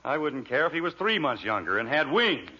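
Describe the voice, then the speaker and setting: A man's voice: medium pitch, very animated, brisk, close to an everyday voice. An actor with an American accent playing a pompous, exasperated middle-aged father in an old radio sitcom, on a muffled, hissy recording. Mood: stern, lofty. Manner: pompous, formal, easily exasperated. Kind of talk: 1940s radio sitcom acting.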